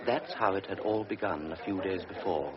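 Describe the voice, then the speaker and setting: A man narrating a literary radio drama in a deliberate, solemn manner. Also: deep voice, expressive, a crowd in the background. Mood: measured. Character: measured storyteller of a pre-war tale. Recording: dull vintage radio recording with music.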